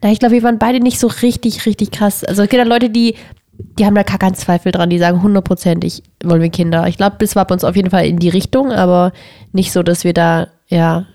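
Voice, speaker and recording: A woman's voice: medium pitch, flat delivery, fast, dark tone, dry recording, clean studio sound. A young woman co-hosting a casual podcast with her husband, teasing him and laughing often. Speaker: podcast co-host. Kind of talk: casual couple chat.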